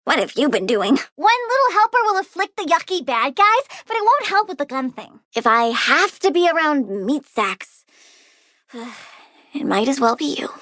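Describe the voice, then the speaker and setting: Game villainess: very high, girlish, wildly swinging voice, bubbly plant-obsessed brat with a menacing, sardonic streak. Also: moderate pace, dry recording, studio quality, exaggerated voice. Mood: sardonic, sulky. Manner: childlike, gleeful, sardonic, swinging between chirpy and deadpan. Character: bubbly, bratty, menacing young plant-girl supervillain. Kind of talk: video game character voice lines.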